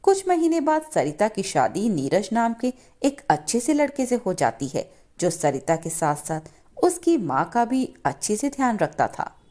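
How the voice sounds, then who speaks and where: A woman's voice: medium pitch, very animated, unhurried, dark tone. A woman narrating a scripted moral story as a storyteller.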